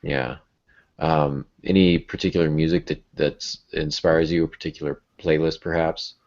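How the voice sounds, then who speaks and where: A man with an extremely deep voice hosts a casual podcast chat. He is dry and jokey in manner. The sound is dull and lo-fi. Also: steady tone, normal speed, darkish tone. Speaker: podcast host.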